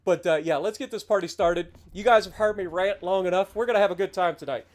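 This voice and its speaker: A man's voice: high voice, fast, a little mellow. Profane, ranting man in his thirties. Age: 30s. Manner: profane, heated and sarcastic. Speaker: game streamer. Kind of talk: casual livestream rant.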